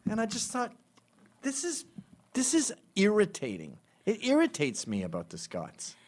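A man's voice: sits high, huge pitch swings, normal speed. A man hosting a casual chat, teasing and provocative, slipping into impressions. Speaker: host.

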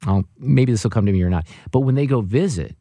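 Animated, teasing male radio host. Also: mid-range voice, fast, dry recording. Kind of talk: casual on-air chat.

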